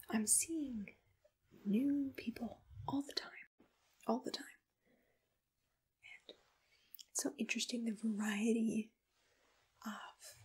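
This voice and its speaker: A woman whispering breathily in a soft ASMR roleplay, with a gentle, reassuring, attentive manner, as if giving personal attention to a client. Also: on the high side, breathy edge. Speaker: ASMR roleplay host as a monster matchmaker.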